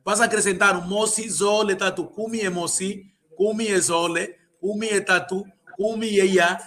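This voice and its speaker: A man's voice: high-pitched, steady tone, normal speed, fairly bright tone. A man tutoring a student in an informal lesson, patiently repeating and drilling words. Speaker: language tutor.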